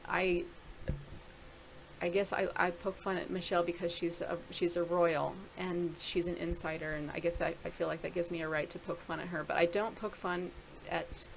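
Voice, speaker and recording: A woman's voice: lowish voice, flat delivery, moderate pace, vocal fry, slight background noise, rough recording. A middle-aged woman casually talking through a screen-share video blog, rambling and thinking aloud with many restarts. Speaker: video blogger.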